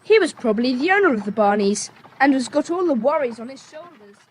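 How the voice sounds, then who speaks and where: Excitable schoolboy, high voice, lively intonation, inquisitive, children's radio play, faint tape noise. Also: female voice, fairly quick. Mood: curious, eager. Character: eager, curious schoolboy adventurer. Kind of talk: children's audio drama acting. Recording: slightly noisy tape transfer.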